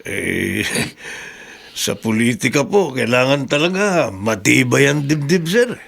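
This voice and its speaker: A man's voice: medium pitch, expressive, takes it slowly, gravelly, a bit bright, full character voice. A man playing a loyal, deferential driver in a Filipino radio drama, respectful and hesitant, with a Filipino accent. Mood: respectful, wry. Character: loyal, deferential driver consoling his boss.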